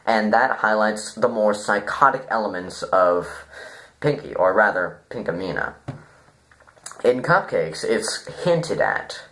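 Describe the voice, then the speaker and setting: Slow-talking, rambling man with lively pitch swings. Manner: halting, rambling, thinking aloud. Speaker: online story reviewer. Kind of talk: casual unscripted review commentary.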